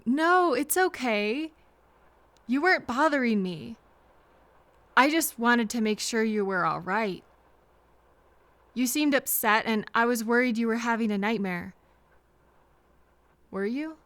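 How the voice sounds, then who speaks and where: Woman playing a sweet caring lady on a train, highish soft voice, kinda hesitant and soothing, audio roleplay, really dry sound. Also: dry recording. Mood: gentle concern. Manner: gentle, hesitant, reassuring, pausing for replies. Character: kind, apologetic woman train passenger comforting a frightened stranger.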